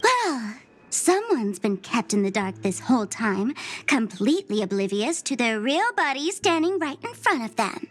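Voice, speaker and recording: Mischievous trickster girl, taunting, theatrical, high swooping voice, anime game. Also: big pitch swings, normal speed. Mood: smug teasing. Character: mischievous, teasing young female trickster, smug and theatrical. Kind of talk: anime-style video game acting. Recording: music behind.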